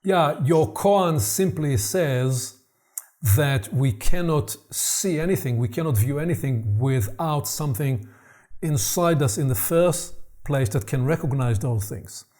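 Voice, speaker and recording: A man born in Israel hosting a reflective conversation on personal development, speaking in a slow, searching way and repeating words as he thinks. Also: on the high side, mellow. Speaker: host.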